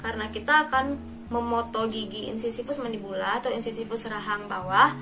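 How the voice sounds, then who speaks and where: A woman's voice: on the high side, steady tone, fairly quick. A young woman giving scripted, step-by-step procedural instruction. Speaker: student demonstrating dental radiography procedure. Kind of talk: instructional narration and scripted patient explanation. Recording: loud music behind the voice. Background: loud background music.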